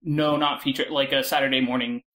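A man's voice: medium pitch, little pitch movement, fairly quick, a bit bright. Man chatting casually on a panel. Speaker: podcast panelist. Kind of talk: casual chat.

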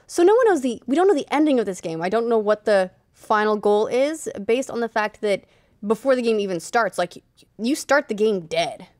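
Casting: American woman, thirties, casual gaming podcast co-host, mid-pitched, dry studio sound. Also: sing-song, normal speed, darkish tone, dry recording. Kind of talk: casual panel chat. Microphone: desk studio microphone.

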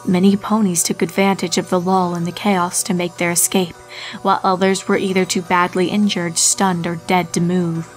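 A woman's voice: lowish voice, flat delivery, normal speed. A woman reading a horror story as a scripted narrator. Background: music.

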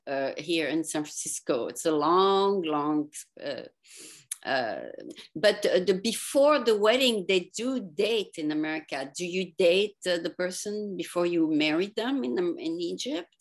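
A woman's voice: fairly low pitch, lively intonation. Older woman host, chatty and explaining. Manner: chatty, explains words slowly. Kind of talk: video call interview. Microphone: video call.

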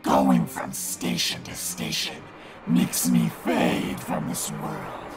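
A woman voicing a vengeful, clingy female ghost in a slow, raspy whisper. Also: extremely low pitch, loud music behind the voice, pitched below the performer's own voice, full character voice. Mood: menacing. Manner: slow, drawn-out, eerie.

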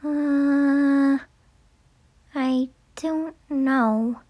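A woman's voice: high voice, steady tone, warm tone. A woman voicing a timid, sweet young female cartoon pony who talks hesitantly, with music underneath. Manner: hesitant, halting. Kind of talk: cartoon comic dub.

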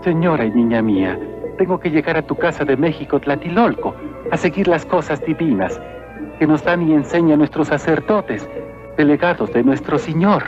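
Man acting a high-voiced humble Mexican peasant. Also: animated, normal speed, music in the background, lightly acted. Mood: reverent. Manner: simple, earnest, deferential. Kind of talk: animated film voice acting. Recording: dull lo-fi old film soundtrack.

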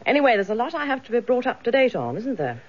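Strong-willed British wife, animated. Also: female voice, on the high side, fast talker, slightly rough, highs cut off. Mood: inquisitive, insistent. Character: detective's wife, strong-willed, loyal, no-nonsense. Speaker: actress in a radio drama. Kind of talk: naturalistic British radio drama acting.

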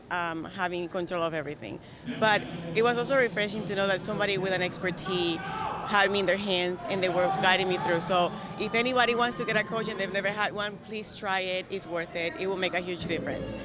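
A woman's voice: medium pitch, very noisy. A young woman from Colombia, a native Spanish speaker with a Colombian accent, chatting casually and upbeat in an interview. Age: young adult. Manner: upbeat, energetic. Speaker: bikini competitor interviewee. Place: large exhibition hall, indoors. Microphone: handheld interview microphone. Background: music.

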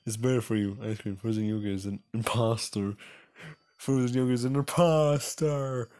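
A man's voice: mid-range voice, fairly even intonation, normal speed, darkish tone. A young man doing casual, jokey playthrough commentary, reading dialogue aloud theatrically with playful asides. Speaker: gaming video host.

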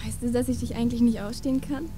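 A woman's voice: a little high, fairly even intonation, fast talker, warm tone, soft background music, close to an everyday voice. An actress playing a feisty teenage girl in a horror audio drama. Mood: playful, sleepy.

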